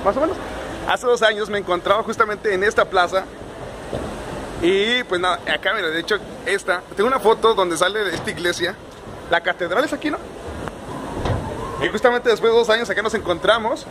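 Young man, chatty travel vlogger, phone held at arm's length, high-pitched, noisy city streets. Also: a little fast, pauses often. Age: young adult. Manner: cheerful, chatty, keeps saying "compadre". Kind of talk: casual travel vlog. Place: city streets, car and airport, in and out of doors. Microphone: phone camera at arm's length.

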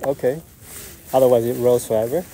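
Male pilot, live commentary, very mellow dark tone, mid pitch, calm clipped callouts, outdoors. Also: brisk, a little hiss or hum, low-quality recording. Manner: calm, calls out maneuvers in short phrases. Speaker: RC jet pilot. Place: outdoors at an RC airfield.

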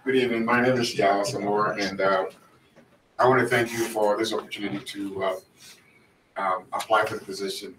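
A low-voiced man in his sixties with a Ghanaian accent speaks in an even, flat tone. He pauses on many fillers as he addresses a board. The sound is roomy and distant. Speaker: board applicant. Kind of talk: public comment at a meeting. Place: school board meeting room. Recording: echoey room from far off, noisy.

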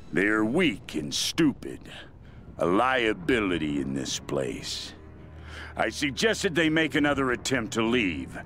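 A sinister, calculating castaway in a video game. His voice is extremely low and creaky, with theatrical, swooping intonation. He narrates his journal with brooding deliberation. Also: a bit bright, full character voice. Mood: cold contempt. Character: cold, calculating stranded male castaway, sinister and obsessive. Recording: music behind the voice.

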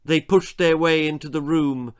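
An older man reading a children's horror story aloud in a deliberate, theatrical way. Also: on the high side, clean tone. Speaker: author reading his own children's story. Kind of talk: dramatic storytelling, scripted narration. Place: small book-lined room indoors.

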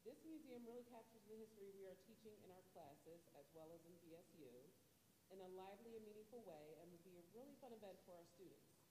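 A woman teacher with a loud voice presenting a field trip proposal to a school board in an upbeat, brisk way. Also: medium pitch. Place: large school auditorium. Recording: echoey auditorium with long reverb and background noise.

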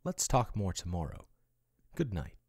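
A man in an ASMR boyfriend roleplay, voicing a male AI chatbot who talks softly and intimately, growing possessive. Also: deep voice, sing-song, a little slow, vocal fry, no room sound, clean studio sound, full character voice. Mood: doting. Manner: soft, intimate, doting, turning possessive. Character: male AI chatbot, soothing then possessive yandere.

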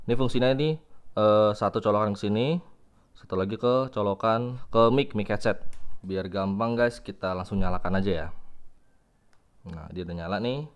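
A man's voice: fairly low pitch, moderate pace, pauses often, clean tone, highs cut off. A young man, chatting informally to viewers as he demonstrates gear in a casual unboxing style. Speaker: vlogger reviewing gear. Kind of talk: casual unboxing and product demo. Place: room at home with tiled floor.

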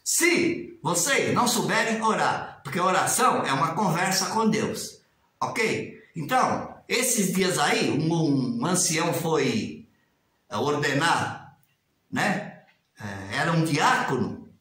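A man's voice: fairly high pitch, very animated, slow, pushed and bright, very echoey, rough recording. A man in his sixties with a Brazilian Portuguese accent, speaking casually and animatedly to a webcam in a small tiled room, sometimes mimicking formal prayers in a raised sing-song voice. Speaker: religious commentator. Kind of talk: casual video commentary. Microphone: webcam at desk distance.

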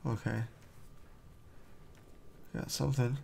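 Relaxed man commenting on gameplay. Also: medium pitch, takes it slowly, vocal fry, a little hiss or hum. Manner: relaxed, rambling, self-amused. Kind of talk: casual let's play commentary.

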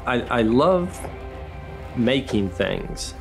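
An older man speaks at an unhurried, halting pace, circling back over his words with frequent fillers. He sounds like a retired engineer recalling his craft for a documentary. Faint music plays beneath his voice. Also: medium pitch, sing-song, creaky. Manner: slow, reflective, many fillers and restarts. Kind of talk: documentary interview. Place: woodworking shop in a farm building.

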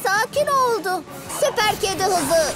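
High-pitched little boy cartoon superhero. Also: animated, a little slow, music in the background. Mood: confident. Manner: earnest, exclamatory. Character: young boy cat superhero, earnest and brave. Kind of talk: children's animated series dubbing.

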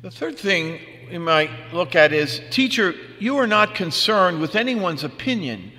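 A man's voice: sits high, sing-song, measured pace, bright, forceful tone. A man preaching a homily as a priest, in a conversational, plain-spoken manner. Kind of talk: homily with personal anecdotes. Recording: long reverb of a large room.

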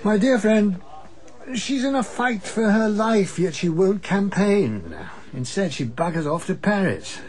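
An actor playing a gruff, weary, affectionate older English husband and father in a radio drama, with blustery old-fashioned turns of phrase. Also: high-pitched, expressive, a little slow. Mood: exasperated. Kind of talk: naturalistic radio drama acting.

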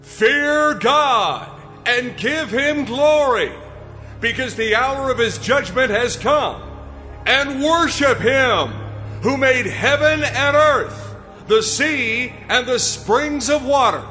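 Mighty angel for audio scripture drama: very high, bright and forceful, slow solemn proclamation. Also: male voice, expressive, faint music behind, full character voice. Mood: solemn, urgent.

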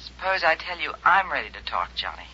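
An actress playing a guarded young widow, speaking urgently over a telephone in an old radio drama. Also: female voice, low-pitched, very animated, fairly quick, bright, forceful tone, slightly rough, exaggerated voice. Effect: telephone filter. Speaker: murder suspect calling the detective. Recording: dull old radio recording.

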